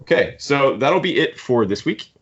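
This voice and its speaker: A man hosting a podcast, casually discussing books in a halting, opinionated fan's way. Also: mid-range voice, big pitch swings, breathy edge. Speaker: podcast host. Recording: dull lo-fi podcast audio.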